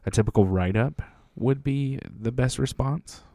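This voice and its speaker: Man, dark mellow voice, dry sound, joking self-deprecating podcast co-host. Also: mid-range voice, a little slow, gravelly, no echo. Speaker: podcast co-host, Air Force veteran. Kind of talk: casual chat.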